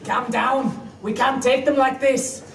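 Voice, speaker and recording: A woman's voice: high voice, steady tone, takes it slowly, echoey room, far from the mic, a little hiss or hum. Toad, a Scottish clansman, put on by a woman. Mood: mock-gruff. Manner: giggly, mock-gruff. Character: gruff Scottish clansman, man.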